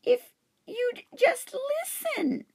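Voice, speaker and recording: A woman voicing a small, excitable male hamster in a children's storybook, with a squeaky, high, dramatic voice. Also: drawn-out words, gravelly, heavily acted. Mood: squeaky, urgent.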